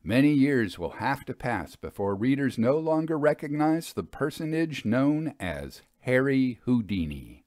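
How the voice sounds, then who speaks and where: A man narrating a scripted story in a measured, expressive, bookish manner. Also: mid-range voice, dry recording, clean studio sound.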